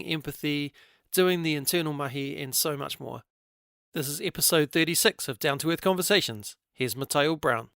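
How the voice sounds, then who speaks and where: A man's voice: fairly high pitch, vocal fry, a bit bright, clear, resonant tone, no echo, studio quality. A middle-aged man hosting a podcast, reading an earnest scripted intro and then chatting with his guest. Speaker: podcast host.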